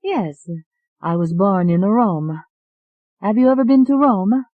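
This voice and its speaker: A narrator voicing a gentle, polite young woman with an Italian accent, speaking softly in an audiobook. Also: mid-range voice, lively intonation, slow, dry recording, narrow, tinny audio, voice pushed up. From Italy. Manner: soft and polite. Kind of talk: audiobook character voice.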